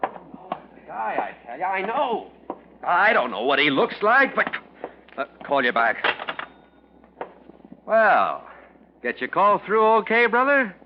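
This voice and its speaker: An actor playing a falsely friendly roadhouse bartender in a 1940s radio drama, coaxing in hesitant, slangy speech. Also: male voice, high voice, very animated, slow pace, long pauses, heavily acted. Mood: nervous, stalling. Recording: muffled old radio recording, faint noise.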